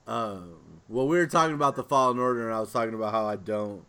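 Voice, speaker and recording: A man's voice: fairly low pitch, fast, pushed and bright, smooth. A man co-hosting a podcast in casual chat, talking in a rambling, loosely phrased way. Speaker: podcast co-host.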